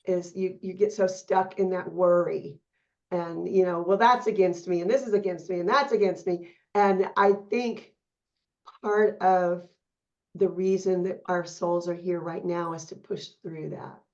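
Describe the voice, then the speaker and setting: A woman's voice: lowish voice, fairly even intonation, a little slow, a little mellow. Affirming woman host, dull lo-fi audio. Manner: affirming, reflective questioner. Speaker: podcast host. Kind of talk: casual interview conversation.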